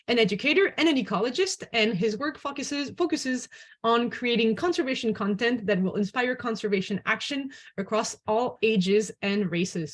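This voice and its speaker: Woman moderating an online panel. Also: fairly high pitch. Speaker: host and moderator.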